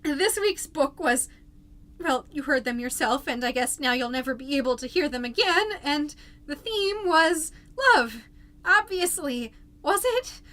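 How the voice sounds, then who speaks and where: A woman plays an anxious mother hosting a podcast in an audio drama. Her voice is very high and bright. She talks slowly in flustered, halting bursts, full of apology. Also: lively intonation, full character voice. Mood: dejected, flustered. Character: anxious, apologetic mom podcaster slipping into eerie trances. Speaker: fictional podcast host.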